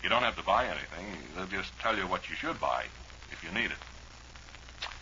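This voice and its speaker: Male old-time radio host, American, folksy ad-libber with a sharp, punchy tone; muffled archival sound with light hiss. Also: sits low, expressive, moderate pace. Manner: folksy, wry, rambling. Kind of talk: rambling ad-libbed old-time radio chat with live commercials. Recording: dull, noisy old radio broadcast recording.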